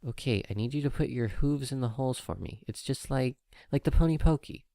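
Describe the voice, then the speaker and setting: A kindly, worried unicorn mare medic, acted by a man with the pitch lifted above his own voice. She speaks gently and carefully, as if guiding a child, in a dry-sounding storybook reading. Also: fairly quick, creaky, dry recording, close to an everyday voice. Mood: coaxing.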